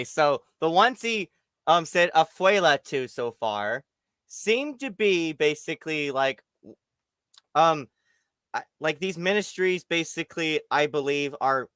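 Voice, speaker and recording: A man's voice: on the high side, a bit bright, smooth, dry recording. A man co-hosting a political stream, talking casually with lots of hesitations. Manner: casual, full of "um" and "like". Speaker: stream co-host. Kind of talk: political commentary chat.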